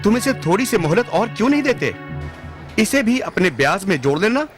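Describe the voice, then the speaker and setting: A man plays an authoritative royal official in a cartoon moral tale. The voice is very high for a man, with music clearly behind it. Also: sing-song, a little fast. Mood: questioning. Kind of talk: animated moral story acting in Hindi.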